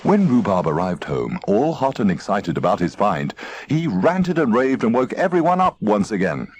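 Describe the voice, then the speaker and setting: Lively male storyteller with swooping pitch. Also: moderate pace. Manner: expressive, playful. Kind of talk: animated children's story narration. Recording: faint noisy old tape transfer.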